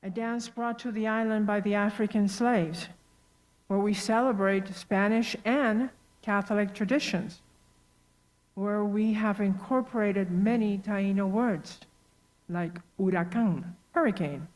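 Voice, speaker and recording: A woman's voice: medium pitch, unhurried, darkish tone, low-quality recording. A woman from Rico, speaking earnestly about her heritage over a video call. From Puerto Rico. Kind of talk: personal talk on heritage.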